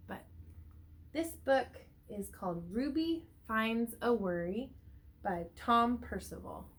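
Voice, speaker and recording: Slow, higher-voiced woman reading to children. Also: expressive. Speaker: teacher reading a picture book aloud to children. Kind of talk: read-aloud storytelling with asides and questions to young listeners.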